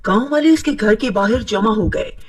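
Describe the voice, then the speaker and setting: A woman narrating an animated moral story in a steady, moralizing telling. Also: mid-range voice, normal speed, distant mic. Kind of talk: scripted cartoon narration.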